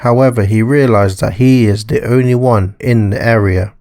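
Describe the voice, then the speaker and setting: A man narrating a scripted story in a measured, formal reading style. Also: mid-range voice, fairly even intonation, a little mellow, dry recording, clean studio sound. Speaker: narrator and author.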